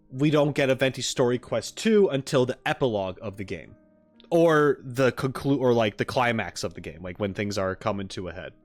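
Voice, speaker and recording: A man chatting casually as a livestream host, thinking aloud in short, informal, trailing phrases. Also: mid-range voice. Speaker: streamer and reaction host. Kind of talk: casual livestream chat, musing about a game. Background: background music.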